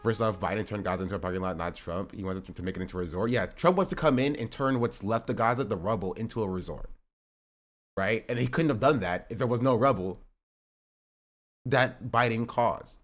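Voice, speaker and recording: A man's voice: on the low side, a little fast. A man in his twenties streaming, rambling through casual political commentary with sarcastic asides and self-corrections. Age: 20s.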